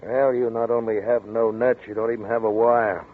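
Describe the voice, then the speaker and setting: An actor playing a relaxed, joshing police detective, in 1940s radio style. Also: male voice, medium pitch, normal speed, phone-quality sound, natural voice. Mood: calming. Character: easygoing, wisecracking police detective. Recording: scratchy 1940s radio broadcast.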